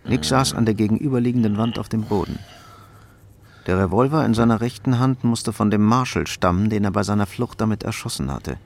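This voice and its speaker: A man's voice: lowish voice, fairly quick, gravelly, slight background noise. A man narrating a crime radio drama in a sober, scripted storytelling style. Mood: sober, suspenseful. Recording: studio radio drama under loud music. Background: loud music.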